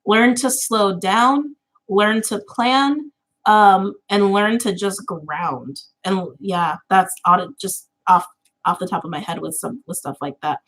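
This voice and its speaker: Woman, late twenties, livestream host; relaxed chatty delivery, filler-heavy, laughs easily, mid-range pitch. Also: slow pace. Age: 20s. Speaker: tarot channel host. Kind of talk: casual livestream chat.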